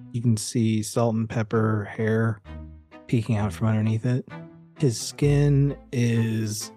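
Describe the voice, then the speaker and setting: A man narrating a tabletop roleplaying game as game master, unhurried and descriptive, leaving pauses as he improvises. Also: lowish voice.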